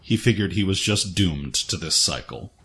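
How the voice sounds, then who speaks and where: A man reads dramatic fiction as the narrator of an audio story. His voice is low, and faint music plays behind it. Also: expressive, moderate pace, fairly bright tone, husky. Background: faint background music.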